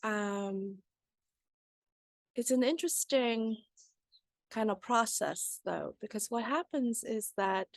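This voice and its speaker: A woman from Vietnam, a writer and panel guest, speaking thoughtfully and haltingly in a conversational discussion. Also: on the high side, no echo.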